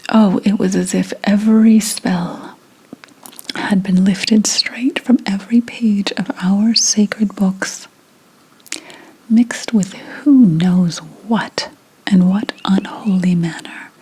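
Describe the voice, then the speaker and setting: A woman playing a secretive, indignant 17th-century witch in a breathy whispered ASMR roleplay, speaking deliberately with dramatic pauses. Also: mid-range voice, a little mellow, heavily acted, poor audio.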